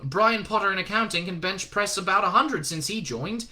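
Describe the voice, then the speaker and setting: A man plays a nervous young man in an audiobook, hesitant and polite, voice pitched a bit up and always unsure. He trips over his words when pressed. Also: animated, moderate pace, bright, forceful tone, heavily acted. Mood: flustered. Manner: tentative, stammering.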